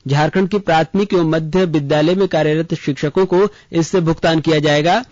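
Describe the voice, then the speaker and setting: A man's voice: on the high side, steady tone, brisk, darkish tone, no room sound. A man reading a scripted regional news bulletin as a radio newsreader. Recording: dull, lo-fi radio broadcast.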